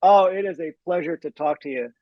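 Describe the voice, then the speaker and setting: Casting: male interview host, slightly high voice, friendly, stumbling repeats; dull, dry call audio. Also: fairly quick, no echo. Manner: friendly, repeats words often. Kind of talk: interview hosting.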